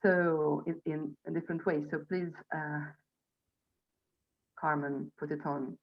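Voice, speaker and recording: A woman in her forties speaks with a Hungarian accent in a lowish voice. She presents to an online group as a spiritual coach, choosing her words in halting, deliberate phrases. The sound is dull and lo-fi, as on a video call. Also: fairly even intonation, vocal fry. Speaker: theta healing instructor and coach presenting an online event. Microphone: video call microphone.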